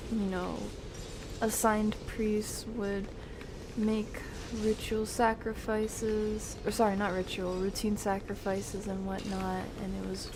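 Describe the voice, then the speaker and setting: A woman's voice: medium pitch, little pitch movement, slow pace, noisy background. A woman streaming a game with casual, chatty live commentary and mild substitute swearing.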